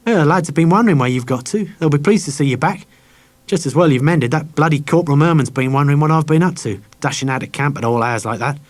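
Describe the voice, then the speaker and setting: A man's voice: on the high side, fast talker, close to an everyday voice. A man voicing a kindly, blunt soldier in an audiobook, speaking in an informal, slangy way. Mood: matey grumbling. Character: kindly, blunt young male soldier, protective.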